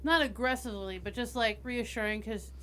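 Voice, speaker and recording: A woman's voice: on the high side, fairly quick, sharp tone. A woman playing a tabletop game, casually narrating and speaking for her character. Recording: faint background noise.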